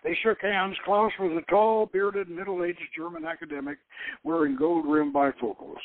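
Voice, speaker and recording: A high-pitched male host delivers a dramatic narration of an adventure novel. He reads in a halting way with occasional stumbles. The sound is dull and lo-fi, with a dry, close acoustic. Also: steady tone, no room sound. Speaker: host reading own novel aloud. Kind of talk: dramatic audiobook-style narration.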